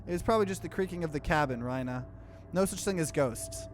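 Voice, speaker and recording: A man's voice: a little high, fast, close to an everyday voice. A man voicing a decisive, reassuring young woman who leads a team in a visual novel, firm and matter-of-fact. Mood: dismissive. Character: steady, decisive female team leader, reassuring.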